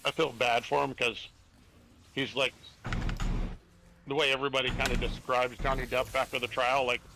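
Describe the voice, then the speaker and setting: A man's voice: medium pitch, flat delivery, normal speed, vocal fry. A man in his forties, the streamer, chatting casually and rambling through anecdotes with friends in voice chat. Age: 40s. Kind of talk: casual voice chat while gaming. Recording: noisy stream audio.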